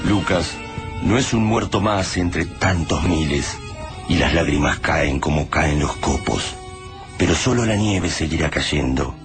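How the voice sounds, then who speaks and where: A man narrates a radio drama in a grave, measured way. His voice is very low. Clear music plays beneath him. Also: animated.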